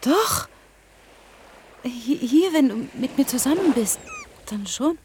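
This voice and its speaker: An actress playing a tender, obsessive scientist mother in a radio play, pleading haltingly in a breathy voice. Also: sits high, lively intonation, darkish tone, a little hiss or hum. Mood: anxious, tender. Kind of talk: German radio play acting.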